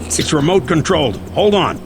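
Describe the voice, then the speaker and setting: An actor playing a brisk, dependable helicopter pilot in a video game. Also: male voice, on the high side, lively intonation, over music, natural voice. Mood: urgent. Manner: brisk, matter-of-fact.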